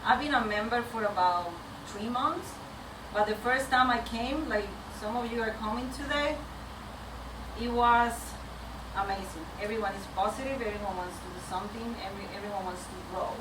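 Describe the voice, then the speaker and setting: A woman with a Venezuelan Spanish accent speaks in a fairly high voice, recorded at a distance in a noisy, reverberant room. She gives an informal personal talk as a community speaker, candid and slightly nervous in her halting delivery. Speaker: community track lead speaker.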